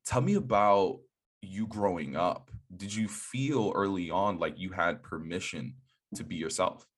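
A man's voice: low voice, a little slow, breathy edge. A man hosting a conversational interview, reflecting on answers and asking questions. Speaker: interview host.

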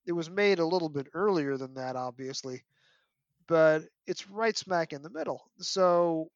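A man's voice: fairly high pitch, animated, unhurried, dry recording. A man giving an articulate, detailed analysis as a guest in a podcast discussion. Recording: dull, dry lo-fi audio.

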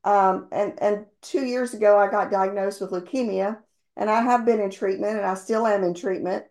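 Middle-aged woman, slightly hoarse, earnest rambling motivational team-call speaker. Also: medium pitch, steady tone, moderate pace, darkish tone. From based in Houston, Texas. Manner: earnest, rambling, with many ums and restarts. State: slightly losing her voice. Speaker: insurance agency owner sharing sales tips.

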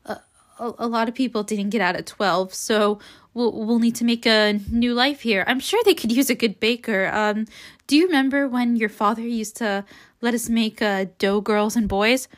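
A woman voicing a gentle, anxious young woman in an audiobook reading, halting, nervous and soothing. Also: a little high, close to an everyday voice.

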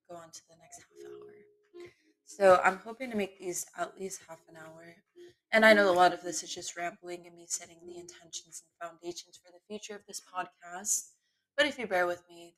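A woman hosting her own podcast, talking off the cuff in a candid, rambling way, her voice sometimes smooth and sometimes raspy. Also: mid-range voice, sharp tone, low-quality recording. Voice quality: voice shifts between smooth and raspy. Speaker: podcast host. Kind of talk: unscripted solo podcast monologue. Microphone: computer mic in web browser.

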